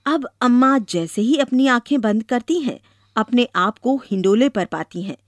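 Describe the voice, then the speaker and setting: A woman's voice: fairly high pitch, moderate pace, dry recording. A woman narrating a scripted children's moral story.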